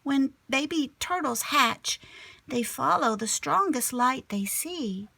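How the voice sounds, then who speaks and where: A woman's voice: high-pitched, lively intonation, slow, creaky, pushed and bright, husky, dry recording, pitched above the performer's own voice, full character voice. A woman voicing an eager, earnest young schoolgirl in a storybook. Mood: earnest, worried.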